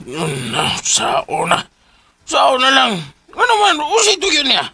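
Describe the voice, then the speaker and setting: A man playing a jittery gang sidekick in a Filipino radio drama, with a raspy voice and a Cebuano accent. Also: very high-pitched, wildly swooping pitch, measured pace, pushed and bright, full character voice. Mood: agitated.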